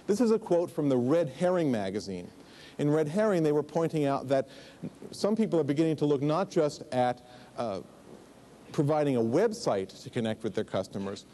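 Mellow-voiced man giving a keynote. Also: medium pitch, a little hiss or hum. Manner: conversational, explanatory, with asides and fillers. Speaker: keynote speaker. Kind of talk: conference keynote presentation. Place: large conference stage.

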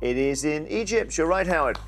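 Male game show host, slightly high voice, crisp studio delivery, faint music under. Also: animated. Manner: brisk, crisp announcing, reading questions aloud. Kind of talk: scripted game show presenting. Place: large TV studio indoors. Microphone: lapel mic.

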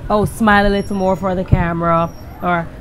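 A woman's voice: fairly low pitch, flat delivery, a little fast, a little hiss or hum. A young woman with a Guyanese accent, answering interview questions with confidence and frequent laughs. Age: young adult. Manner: confident, laughs often, speaks with emphasis. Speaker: singer and interview guest. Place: outdoor terrace by a balustrade. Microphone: handheld mic held by the interviewer.

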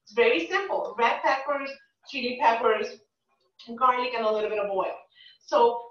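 A middle-aged woman chef explains each step of a dish as she cooks. Her voice sits a little high and keeps checking in with the viewers. She is recorded from across a home kitchen, so the sound is roomy, distant and dull. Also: a bit bright. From Dominican and Cuban family. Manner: chatty, explains while she cooks, says "right?" often. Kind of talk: live cooking demonstration. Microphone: camera across the kitchen.

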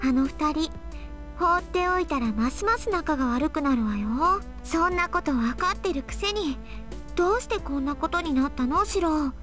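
A woman's voice: high voice, lively intonation, quick pace, a bit bright, soft background music. A woman voicing a precocious, haughty little girl who speaks frankly and without reserve. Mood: blunt, knowing. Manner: frank, unreserved.

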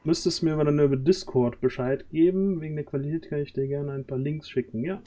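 Halting man, mellow livestream host. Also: on the high side, steady tone, slight background noise. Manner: halting, searching for words. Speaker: stream host and audio drama organiser. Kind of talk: casual livestream chat. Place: small room at home, on a group video call.

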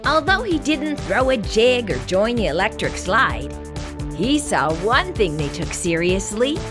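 A woman reading a children's picture book aloud in expressive, scripted narration. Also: a little high, a little slow, over music.

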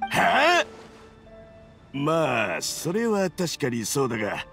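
Game role: exasperated male investigator, informal, brash, high-pitched with gravelly creak, expressive. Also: slow pace, faint music behind, heavily acted. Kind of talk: Japanese game voice acting.

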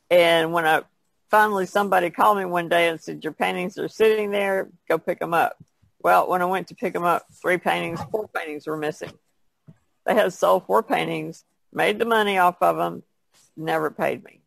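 A man's voice: high voice, gravelly, a bit bright, dry recording. A man talking casually as an artist guest on a podcast, telling stories in a plainspoken way. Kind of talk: casual conversational storytelling.

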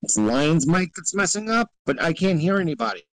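A man's voice: fairly high pitch, lively intonation, fairly quick, fairly bright tone, no room sound, poor audio. An American man from New York chatting casually in a live audio chat, blunt and given to swearing. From New York, United States.

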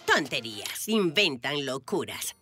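Elderly grandma, low voice, animated intonation, chiding, dismissive, cartoon. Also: female voice, a little slow, breathy edge, faint music behind, full character voice. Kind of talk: children's cartoon dubbing.

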